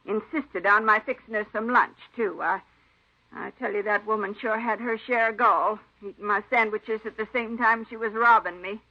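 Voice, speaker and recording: An actress plays an aggrieved, fussy older woman in a vintage radio drama. She grumbles in a folksy, indignant manner at an average pitch. The old recording is dull and muffled. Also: steady tone, normal speed, natural voice. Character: fussy, aggrieved aunt robbed by a cleaning woman.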